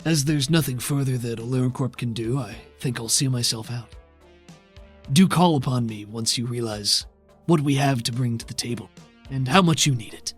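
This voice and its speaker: A man voicing a smooth, menacing male corporate executive who speaks with cold politeness and veiled threats in a tabletop game. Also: medium pitch, moderate pace, faint music behind, close to an everyday voice. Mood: smug.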